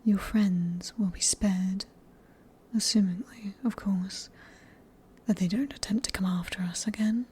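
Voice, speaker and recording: A woman with a British accent playing a sadistic, possessive vampire in a whispered ASMR roleplay, speaking in a breathy, teasing, genteel and condescending manner. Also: medium pitch, steady tone, measured pace, a little mellow. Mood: coolly bargaining. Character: sadistic, possessive female vampire, silkily polite and manipulative.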